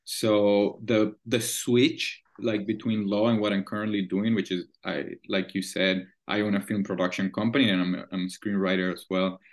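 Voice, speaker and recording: A man in his thirties from Venezuela with a Spanish accent, a guest talking through his story at length with frequent pauses and restarts. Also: on the low side, level tone. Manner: thoughtful, explains at length with many "uh" and restarts. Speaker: guest, screenwriter and producer. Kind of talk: casual interview chat.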